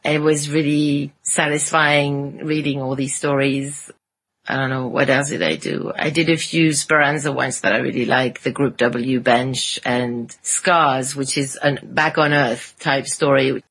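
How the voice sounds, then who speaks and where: A woman's voice: rumbling low, flat delivery, measured pace, a bit bright, slightly rough. A woman on a podcast panel, chatting casually and with enthusiasm about fan fiction. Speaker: podcast panelist and podfic narrator.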